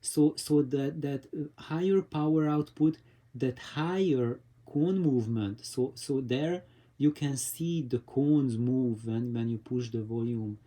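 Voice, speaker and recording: A man giving an informal explanation to viewers as a video host, in a halting way with repeated and restarted phrases. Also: mid-range voice, warm tone. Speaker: audio reviewer and vlog host.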